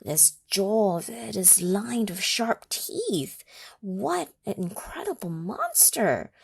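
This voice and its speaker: A woman reading a picture book aloud to children in an expressive, gently encouraging way, stopping to ask her listeners questions. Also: mid-range voice, creaky, breathy edge. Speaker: storytime reader.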